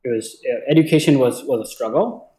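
A man's voice: mid-range voice, fairly quick, dark tone. Echoey young man from Bangladesh, conversational. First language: Bengali. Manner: hesitant, often repeating words. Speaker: interview guest. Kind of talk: casual conversational storytelling. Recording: echoey, roomy sound.

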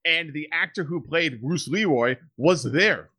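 Man, animated, rambling, bright tone, casual podcast chat. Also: fairly high pitch, a little slow, breathy edge.

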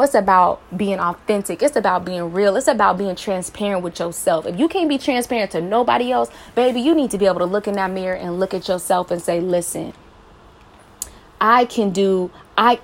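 A woman's voice: mid-range voice, darkish tone. A woman in her late twenties hosting a personal advice podcast, talking earnestly and encouragingly, often asking her listeners rhetorical questions. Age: late 20s. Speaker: podcast host.

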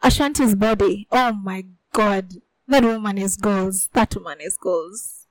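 A young woman co-hosting a podcast, chatting in a breezy, teasing and animated way. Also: mid-range voice, a little slow, no room sound, low-quality recording. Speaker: podcast co-host. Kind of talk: casual podcast chat. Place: podcast studio.

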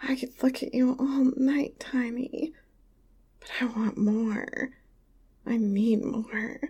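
A woman playing a breathy, teasing tall lover. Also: sits high, slow pace. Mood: whispery tender. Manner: soft breathy whispering. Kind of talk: intimate audio roleplay to the listener.

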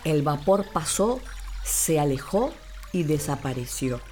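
A woman narrating a scripted children's story in an expressive, theatrical way. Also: sits low, a little slow, over music.

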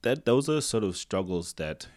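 A man's voice: on the low side, very animated, moderate pace, darkish tone. A man from Tonga, a native Tongan speaker, hosting a casual conversational podcast interview, talking discursively, rephrasing his points and checking for agreement. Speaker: podcast host.

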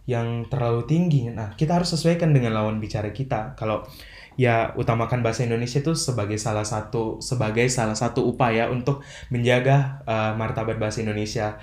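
A young man, a podcast guest, explaining in measured, formal phrasing with frequent fillers. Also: mid-range voice, steady tone, darkish tone. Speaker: podcast guest, language ambassador. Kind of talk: earnest motivational explaining. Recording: roomy echo.

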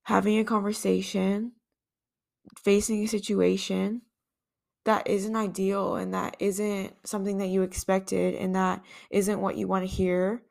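A woman's voice: mid-range voice, level tone, a little slow, gravelly, a little mellow. A woman hosting a podcast on her own, talking in an earnest, reflective way and thinking aloud with some restarts. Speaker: podcast host. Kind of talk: reflective solo podcast talk.

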